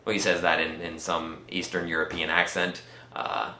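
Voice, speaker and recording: A man with a Swedish accent telling a personal story in a casual, rambling vlog style, halting and pausing often as he goes. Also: low voice, little pitch movement, pushed and bright, reverberant, a little hiss or hum. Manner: halting, conversational delivery with many pauses. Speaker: vlogger.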